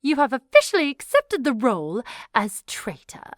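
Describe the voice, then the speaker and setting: A woman's voice: high-pitched, wildly swooping pitch, normal speed, dry recording, clean studio sound. A woman playing a commanding, scheming villainess in an audio drama, imperious and theatrical. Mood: stern command. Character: commanding, scheming villainess running a deadly game.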